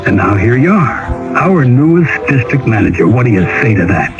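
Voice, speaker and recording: An actor playing a frank, folksy middle-aged boss in a conversational radio public service spot. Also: male voice, mid-range voice, big pitch swings, a little fast, dull audio, over music. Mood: approving. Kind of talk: acted public service announcement.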